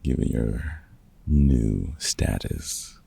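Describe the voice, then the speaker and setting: Male actor as grizzled older monster hunter, extremely gravelly, slow-spoken, dry teasing mentor, ASMR audio drama. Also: very deep voice, lively intonation, warm tone, heavily acted. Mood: fond, encouraging. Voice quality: very gravelly, husky. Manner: dry, teasing, hesitant with unfamiliar slang. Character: gruff, wry older male vampire hunter, fatherly mentor. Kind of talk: ASMR roleplay audio drama, one-sided conversation.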